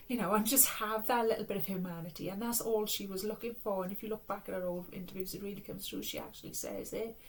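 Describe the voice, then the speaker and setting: A woman's voice: mid-range voice, fairly even intonation, brisk, slight background noise, rough recording. Welsh woman giving a spiritual reading. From Wales. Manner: rambling, earnest, reflective with soft laughs. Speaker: spiritual reader. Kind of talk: casual spiritual talk to camera.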